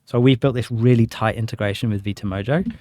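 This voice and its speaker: A man speaks very quickly in a soft-edged, mellow voice. He is a guest in an interview, keenly explaining how things work with simple comparisons. The sound is close and dry. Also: mid-range voice, no room sound. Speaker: interview guest, sales director. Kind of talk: conversational product explanation.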